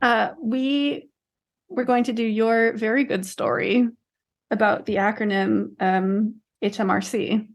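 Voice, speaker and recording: A woman with a fairly high voice co-hosts a podcast. She talks in a casual, conversational style, as in a relaxed discussion about books. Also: takes it slowly, soft background music. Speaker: podcast co-host. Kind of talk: casual book discussion chat.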